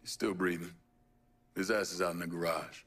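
An actor playing a stoic, principled young American war veteran in a dramatic video game. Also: male voice, sits low, level tone, moderate pace, a bit bright, husky. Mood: casual, approving. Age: young adult.

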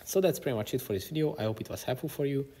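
A man narrating an instructional software tutorial as its presenter. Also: medium pitch, very fast, a crowd in the background. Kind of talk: instructional screen-recording narration.

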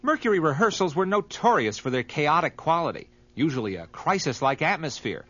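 A man's voice: fairly high pitch, sing-song, fairly quick, bright, forceful tone. A man delivering scripted documentary narration. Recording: slightly dull, muffled recording.

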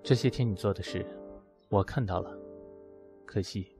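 A man's voice: on the low side, moderate pace, mellow, natural voice. Man acting a curt, proud male teacher. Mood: cold, hurt. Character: proud, wounded male math teacher rejecting his former lover. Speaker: voice actor in an amateur audio drama. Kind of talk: acted audio drama dialogue. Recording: music behind the voice.

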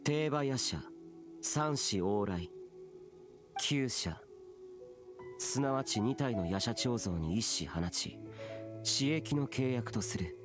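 A man playing an aloof, youthful immortal warrior in an anime game, speaking gravely and curtly. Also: mid-range voice, animated, slow pace, pauses often. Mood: solemn, instructive. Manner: curt, grave, stern. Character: solemn, aloof immortal warrior guardian, young-looking man. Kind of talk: Japanese anime game voice acting. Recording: game music behind.

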